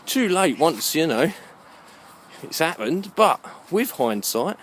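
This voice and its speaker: A man's voice: fairly high pitch, big pitch swings. Musing man, noisy outdoor recording. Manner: musing, halting, self-questioning. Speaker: vlogger. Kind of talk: rambling walk-and-talk monologue. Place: outdoors, walking along a muddy alleyway.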